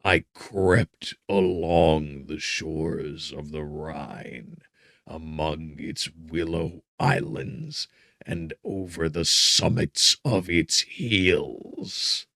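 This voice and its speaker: A man voicing a wretched, vengeful monster in a gothic audiobook, with smothered, rasping breath and grandiose, bitter menace. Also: a bass voice, bright, forceful tone, no room sound, clean studio sound, full character voice. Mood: bitter anguish. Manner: slow, drawn-out, grandiose threats. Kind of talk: dramatic gothic audiobook acting.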